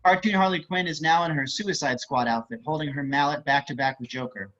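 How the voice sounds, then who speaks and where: A man's voice: a little high, brisk, a bit bright. A man reading stage and screen directions aloud as scripted narration. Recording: muffled online call audio.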